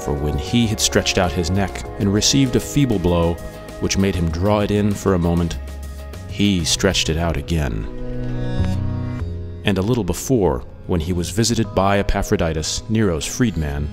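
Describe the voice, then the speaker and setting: Low-voiced man reading philosophy over music. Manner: measured, deliberate delivery in a radio monologue style. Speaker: audiobook reader.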